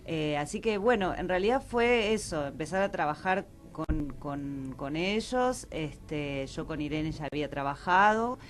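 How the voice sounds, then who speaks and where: Low-voiced woman, halting and tentative. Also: expressive. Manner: tentative, halting, pauses to find words. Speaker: interview guest, theatre director. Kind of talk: casual radio interview.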